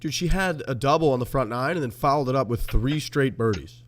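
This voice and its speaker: A man's voice: mid-range voice, fairly quick. A man co-hosting a golf podcast, chatting loosely and swearing freely. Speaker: podcast co-host. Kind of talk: casual chat.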